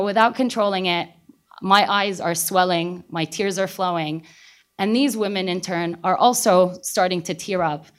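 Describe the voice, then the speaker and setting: A woman with a Syrian accent, a native Arabic speaker, telling an emotional personal story at a panel in an earnest way, with some fillers. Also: lowish voice. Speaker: panel speaker from an aid organization. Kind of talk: personal account in a panel talk.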